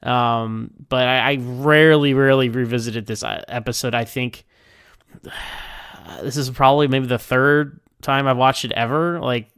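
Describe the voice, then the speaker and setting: A man giving casual, unscripted recorded feedback as a listener, rambling and halting, often repeating words as he goes. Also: medium pitch, a bit bright, smooth, no echo, studio quality. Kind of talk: casual, unscripted episode review.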